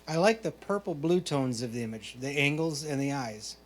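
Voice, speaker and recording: A man working as a photo competition judge, reading out prepared critiques in a measured, explanatory way. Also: medium pitch, expressive. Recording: clear background noise, hum.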